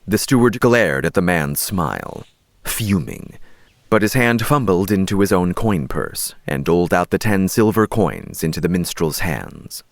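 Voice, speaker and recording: Low, gravelly male storyteller. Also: lively intonation, measured pace, no echo, clean studio sound. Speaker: audiobook narrator. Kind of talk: scripted storybook narration.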